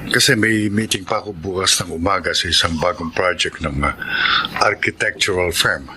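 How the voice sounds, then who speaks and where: A man's voice: deep voice, normal speed, sharp tone. A man acting an earnest grown man in a family radio melodrama, with a Filipino accent. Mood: casual, dismissive. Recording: noisy sound effects and ambience behind.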